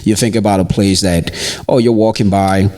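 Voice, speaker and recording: A man with a very low voice hosts a podcast and chats easily with his guest. He is from the Ivory Coast and keeps up a relaxed, conversational flow, dropping in agreeing murmurs as she talks. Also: moderate pace. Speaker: podcast host. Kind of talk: casual interview.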